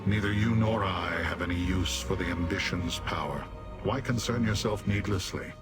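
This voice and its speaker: A man's voice: sits low, normal speed, over loud music, natural voice. A man voicing a proud, grave Daedric warrior in a fantasy game, speaking in a formal, declamatory way. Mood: dismissive. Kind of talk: scripted fantasy game acting.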